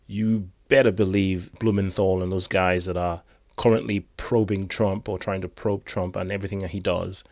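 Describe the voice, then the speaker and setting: Hoarse man giving chatty crypto commentary. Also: fairly low pitch, no room sound, studio quality. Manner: rambling, chatty asides. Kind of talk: casual commentary on news.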